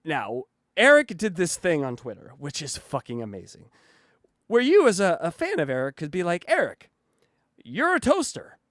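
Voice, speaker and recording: A man hosting a comedy podcast, chatting casually in a halting way with many fillers and frequent giggles. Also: fairly high pitch, wildly swooping pitch, a bit bright, no room sound, clean studio sound. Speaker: podcast host.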